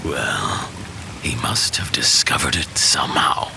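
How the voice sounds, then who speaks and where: Loud rainy noise, imperious scheming villain, abyssally deep, heavily gravelled, fantasy audio drama. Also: male voice, lively intonation, full character voice. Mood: sly, persuasive. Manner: slow, threatening, persuasive. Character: menacing, scheming fallen villain, commanding and imperious.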